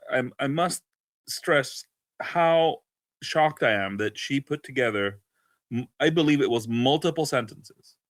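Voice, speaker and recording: A man co-hosting a podcast with casual political commentary, speaking in a halting, sardonic way. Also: mid-range voice, a bit bright, no echo. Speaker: podcast co-host.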